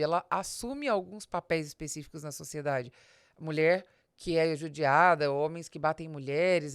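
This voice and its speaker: A woman's voice: lowish voice, dry recording, clean studio sound. A Brazilian woman giving an explanatory business podcast talk, speaking straight to the listener in a didactic way with rhetorical questions. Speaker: business advisor and podcast host.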